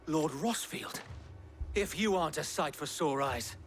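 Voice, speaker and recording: A man's voice: fairly high pitch, animated, measured pace. A man playing a dutiful, harried knight who commands his men in a video game. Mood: relieved, earnest.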